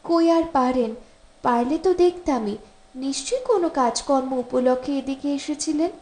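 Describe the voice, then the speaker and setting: A teasing wife and mother from an audiobook story, acted out by a woman narrator. She speaks high, with a gently scolding, bossily caring manner that fusses over her guest. The room echoes noticeably. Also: normal speed, lightly acted. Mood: teasing reproach. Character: teasing, hospitable young married Bengali mother. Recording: roomy, echoing voice recording.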